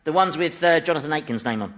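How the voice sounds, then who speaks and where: An actor playing a chatty, exasperated devil who runs hell, wry and dismissive, in a radio comedy. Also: male voice, fairly high pitch, lively intonation, fast talker, heavy background noise, lightly acted. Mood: bossy. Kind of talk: broadcast radio comedy acting.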